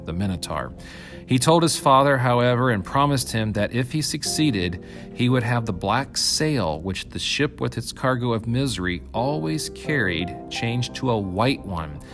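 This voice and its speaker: A man with a fairly low voice narrates an old myth as a storyteller. His delivery is measured and dramatic, leaning on key phrases. Music plays clearly behind him. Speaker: reader, narrator.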